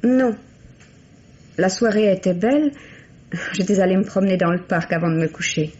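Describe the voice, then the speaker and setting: Actress as a composed young secretary, muffled recording. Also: female voice, mid-range voice, normal speed, mellow, lightly acted. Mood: guarded. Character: composed, reserved young secretary, coolly candid witness. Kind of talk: French radio mystery play acting. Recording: muffled, noisy old radio recording.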